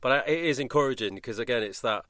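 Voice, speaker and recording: A man's voice: mid-range voice, fast talker, a bit bright, no echo, studio quality. A man hosting a podcast in a casual chat, talking in an informal, rambling conversational way. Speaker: podcast host.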